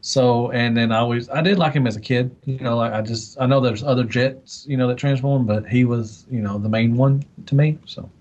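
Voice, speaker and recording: A man's voice: medium pitch, fairly even intonation, brisk, hardly any pauses, darkish tone, highs cut off. Casual, rambling man on a podcast. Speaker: podcast panelist. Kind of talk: casual chat.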